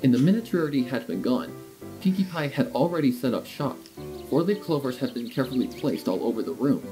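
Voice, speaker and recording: Animated male storyteller over music. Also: medium pitch, brisk. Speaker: narrator. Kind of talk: scripted fan fiction narration, expressive storytelling. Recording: guitar music behind the voice.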